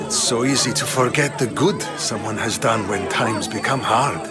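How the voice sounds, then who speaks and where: A man's voice: on the low side, normal speed, over loud music, natural voice. An actor playing a wry, weary, dignified Athenian statesman in a video game, speaking formally and articulately. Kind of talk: dramatic video game acting.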